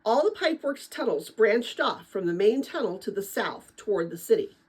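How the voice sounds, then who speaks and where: A woman narrating a children's adventure novel as a dramatic read-aloud, with expressive, deliberate phrasing. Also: mid-range voice, breathy edge. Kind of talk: dramatic storybook read-aloud for middle-grade listeners.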